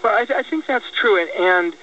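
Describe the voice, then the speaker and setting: An American man speaking in a political radio discussion, halting and repeating words as he reasons things out. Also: high-pitched, animated, bright, forceful tone. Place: radio broadcast. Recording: dull lo-fi radio, distant, faint noise.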